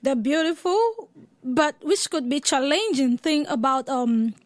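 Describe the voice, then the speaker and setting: High-pitched woman hosting a radio interview. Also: lively intonation, a little slow, dry recording. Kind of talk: casual chatty interview.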